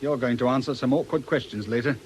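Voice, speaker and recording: A man's voice: mid-range voice, quick pace, a little hiss or hum, natural voice. A man acting in a radio drama as a plain-spoken, dutiful police inspector with a British accent and a blunt, businesslike manner. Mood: stern. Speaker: radio actor.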